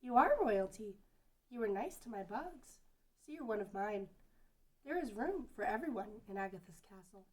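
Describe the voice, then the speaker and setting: A woman's voice: mid-range voice, lively intonation, moderate pace, darkish tone, close to an everyday voice. A woman playing an innocent, earnest young girl who loves bugs, in an amateur fantasy table read. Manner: childlike, simple and earnest. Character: innocent bug-loving young girl, sweet and childlike. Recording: distant from the microphone.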